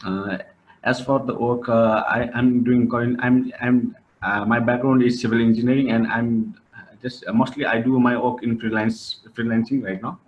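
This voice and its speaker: A man's voice: mid-range voice, fairly even intonation, moderate pace. A man from Nepal, a sanitation student, introducing himself hesitantly on a video call. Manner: hesitant, restarts his sentences. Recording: muffled video-call audio.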